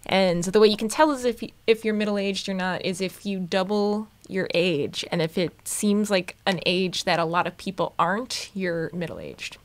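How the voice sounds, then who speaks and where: A woman's voice: medium pitch, lively intonation, normal speed, few pauses, no echo. A thirty-year-old woman chatting informally and jokily as a co-host on a live stream. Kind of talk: casual chat.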